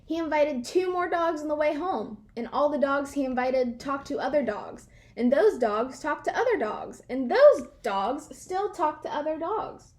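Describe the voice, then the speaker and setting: High-voiced woman reading a children's story. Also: animated, unhurried, hardly any pauses. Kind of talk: animated children's read-aloud narration.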